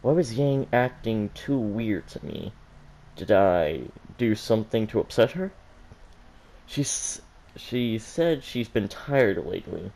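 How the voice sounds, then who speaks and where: A reserved young woman thinks to herself in a story, read by a man in an everyday voice. The voice is fairly low and very mellow, introspective and puzzling things through. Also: creaky, exaggerated voice, rough recording. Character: pensive, reserved young woman puzzling over her feelings.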